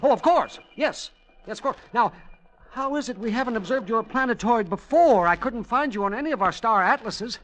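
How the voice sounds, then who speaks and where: An actor playing a middle-aged, henpecked schoolteacher and amateur scientist, nervous and eager, in an old radio drama. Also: male voice, very high voice, expressive, moderate pace, fairly bright tone. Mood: eager, deferential. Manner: flustered, stammering, deferential. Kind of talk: 1940s-50s radio drama acting. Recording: noisy, crackly vintage radio recording.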